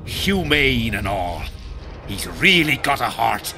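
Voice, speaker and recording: Blunt, battle-hardened medieval soldier. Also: male voice, medium pitch, sing-song, slow pace, background noise. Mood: proud, fond. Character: rough, battle-hardened medieval army veteran, proud and blunt. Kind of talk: video game dialogue acting.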